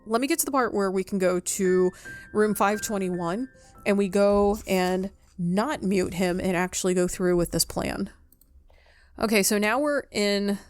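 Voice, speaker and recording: Female game streamer, medium pitch, casual let's play chat and read-aloud text, soft game music underneath. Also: dry recording, clean studio sound.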